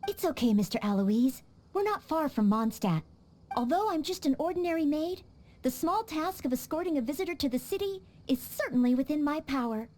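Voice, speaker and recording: A woman voicing an earnest, polite young maid who trains as a knight, speaking gently and eager to help. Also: sits high, animated, brisk, a little hiss or hum. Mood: reassuring. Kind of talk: video game voice acting.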